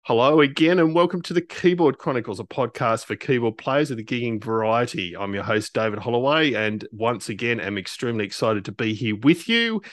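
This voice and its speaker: A man's voice: medium pitch, expressive, a little fast, hardly any pauses, faint music behind. A man with an Australian accent introducing a podcast in a conversational style as its host.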